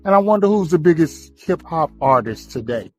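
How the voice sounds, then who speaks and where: A man giving an informal monologue to viewers in halting, broken phrases. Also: on the high side, animated, faint music behind.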